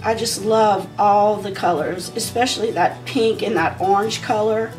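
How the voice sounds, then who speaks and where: Chatty woman vlogger, voice going hoarse. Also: medium pitch, normal speed, a little mellow, recorded from a distance, soft background music, poor audio. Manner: rambling and conversational, talking while applying makeup. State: losing her voice from a sinus issue. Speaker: beauty vlogger. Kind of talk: casual chatty makeup tutorial.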